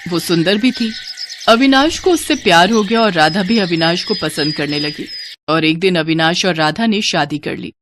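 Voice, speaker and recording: A woman's voice: on the low side, normal speed, noisy background. A woman narrating a scripted moral story.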